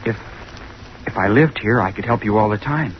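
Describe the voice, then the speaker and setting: An actor playing an earnest, awkward, ambitious young chemistry student in an old-time radio drama, hesitant and over-polite. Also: male voice, on the low side, moderate pace, lightly acted. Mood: meek, eager. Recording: muffled, noisy old radio recording.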